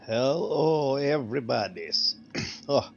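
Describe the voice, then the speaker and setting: A man's voice: mid-range voice, expressive, takes it slowly, faint music behind. A man talking casually as a player in a tabletop game. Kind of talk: casual chat. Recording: dull lo-fi sound, faint noise.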